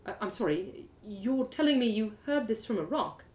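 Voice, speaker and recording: A woman's voice: on the high side, expressive, normal speed, a little mellow, natural voice. Woman voicing a guarded, weary warrior woman. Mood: weary, resigned. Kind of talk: storybook character voice in a live reading.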